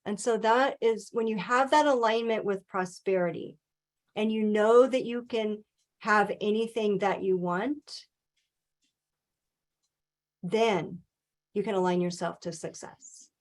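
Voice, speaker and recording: Calm, earnest woman coaching on mindset. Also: medium pitch, takes it slowly, long pauses. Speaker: guest speaker, author and financial advisor. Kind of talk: webinar interview, motivational talk.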